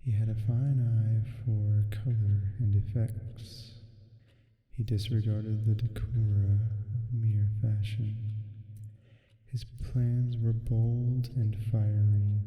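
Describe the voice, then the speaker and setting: A man voicing a sleep paralysis demon, reading gothic fiction slowly for ASMR in a measured, hushed way with long pauses. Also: on the low side, little pitch movement, phone-quality sound. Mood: hushed, ominous. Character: male sleep paralysis demon reading a bedtime horror tale. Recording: heavily reverberant, echoing voice.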